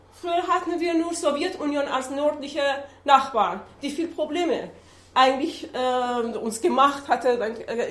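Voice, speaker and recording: A woman from Iran with a Persian accent, giving an adult-education lecture in a halting way with many fillers as she searches for words. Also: sits high, echoey room. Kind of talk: public lecture with map slides. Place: lecture room.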